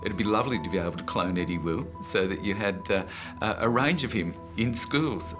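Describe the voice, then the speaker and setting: A man, a school leader, speaking in a measured, matter-of-fact way. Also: lowish voice. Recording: documentary with loud background music. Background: music.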